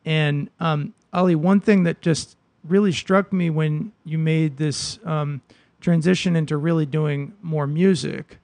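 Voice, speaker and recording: A man's voice: on the high side, steady tone, a little slow, a little mellow, no echo. A man in his thirties hosting an advice podcast, chatty and loose, with lots of fillers. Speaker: podcast host. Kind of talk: casual conversational interviewing.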